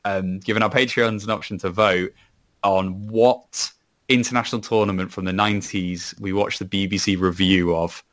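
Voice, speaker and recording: Welsh man, low voice, rambling casual guest, lots of restarts. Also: fairly even intonation, moderate pace, a bit bright. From Wales. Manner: rambling, many restarts and laughs. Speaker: podcast co-host, guest. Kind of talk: casual chat.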